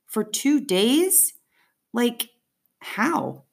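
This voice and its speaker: Woman voicing a puzzled, higher-pitched schoolboy. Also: huge pitch swings, extremely slow, gravelly, heavily acted. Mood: baffled. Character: puzzled, skeptical fifth-grade boy.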